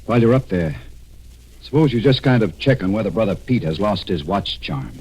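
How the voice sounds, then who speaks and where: Casting: businesslike inspector, low and husky, brisk questioning, old radio mystery style. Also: male voice, expressive, a little mellow, low-quality recording. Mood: suspicious. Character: businesslike, probing police inspector. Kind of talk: 1940s radio acting. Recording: 1940s radio drama with music.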